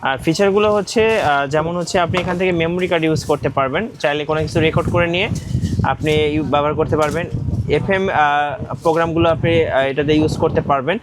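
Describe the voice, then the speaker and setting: Bangladeshi male vlog host, somewhat high-pitched, casual promo talk over noticeable noise. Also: fairly quick. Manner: echoes the seller's points. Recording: clearly noisy audio with clicks.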